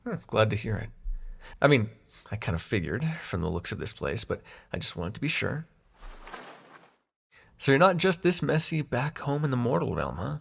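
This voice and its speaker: Here is a young demon prince of nightmares, acted out by a man, with a mid-pitched voice and a gravelly creak. His delivery swings widely in pitch as he teases and snarks. He speaks intimately to the listener in an audio roleplay, hiding a soft heart behind sarcasm. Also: sing-song, moderate pace, pauses often, exaggerated voice. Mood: teasing, fond. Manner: sardonic, teasing, hesitant when showing affection.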